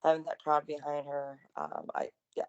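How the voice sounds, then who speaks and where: A woman with a slightly raspy voice, co-hosting a wrestling podcast in enthusiastic, chatty conversation. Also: sits low, very animated, moderate pace, muffled recording. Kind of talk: casual wrestling recap chat.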